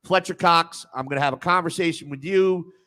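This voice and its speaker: A man's voice: a little high, fairly quick, pushed and bright. A man hosting a sports talk panel, blunt and argumentative, cutting in during heated banter.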